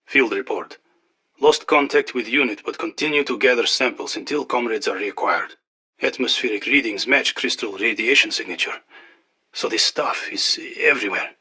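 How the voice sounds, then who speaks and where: Terse, rough-voiced, sharp soldier. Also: male voice, medium pitch, normal speed. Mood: terse, dutiful. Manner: terse, businesslike military delivery. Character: clipped, dutiful soldier filing a field report. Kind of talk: in-game military field report.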